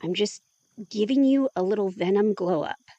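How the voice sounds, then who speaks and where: A woman's voice: mid-range voice, moderate pace, mellow, dry recording, lo-fi sound, close to an everyday voice. An actress playing a jittery young woman vampire, anxious and exclamatory in an audio drama. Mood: earnest.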